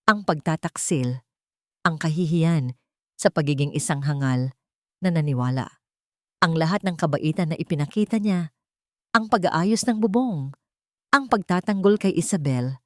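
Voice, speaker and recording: A woman reading dramatic scripted story narration in a measured way, with short weighted phrases. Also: low-pitched, darkish tone, dry recording, clean studio sound.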